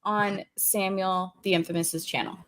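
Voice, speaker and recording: A woman's voice: mid-range voice, steady tone, unhurried, crowd noise, rough recording. A woman on a livestream who makes blunt, sarcastic jabs at the hosts. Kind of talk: casual crude banter.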